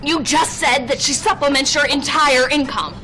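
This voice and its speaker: A woman's voice: sits high, normal speed, pushed and bright, faint music behind. A woman playing an indignant, hurt newly engaged woman in an aggrieved, emphatic dramatic monologue. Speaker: actor in a monologue reel. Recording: clearly noisy room recording.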